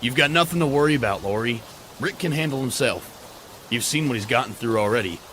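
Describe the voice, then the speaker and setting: A man acts as a protective, insistent survivor in a zombie comic dub. His voice sits at an average male pitch, with soft rain noise in the background. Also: lively intonation, fairly quick, lightly acted. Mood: reassuring. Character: protective, persistent male survivor consoling a friend's wife. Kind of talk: dramatic comic dub voice acting.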